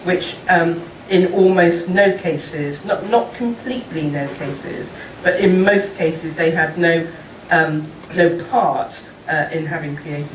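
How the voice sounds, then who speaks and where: A woman diplomat speaking at a panel discussion in a measured, hesitant way, with many pauses and fillers. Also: fairly low pitch. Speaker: diplomat at a national mission. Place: large conference room. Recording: echoey room from far off, faint noise.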